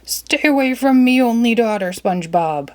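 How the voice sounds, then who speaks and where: A gruff, money-minded middle-aged cartoon crab boss, as a woman reader puts him on. He speaks low and slowly, with a gravelly edge. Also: animated, darkish tone, natural voice.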